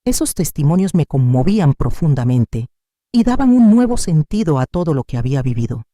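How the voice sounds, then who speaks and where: A narrator reading a scripted first-person story in a measured, sentimental way. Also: medium pitch, big pitch swings, dark tone, no echo, clean studio sound.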